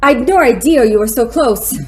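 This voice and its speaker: A woman's voice: on the high side, animated, moderate pace, darkish tone, natural voice. A woman playing an impressionable, anxious young lady in a radio drama, with a plaintive, dramatic way of speaking. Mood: frightened. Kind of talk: stage-like radio drama acting.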